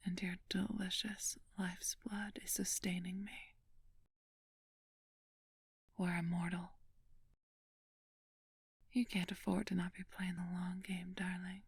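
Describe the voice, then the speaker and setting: A woman playing an ancient vampire thief in an ASMR roleplay, flirtatious and imperious, speaking in a breathy, teasing, commanding whisper. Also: medium pitch, no echo, clean studio sound, heavily acted. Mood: seductive, smug. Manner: slow, teasing, commanding, haughty.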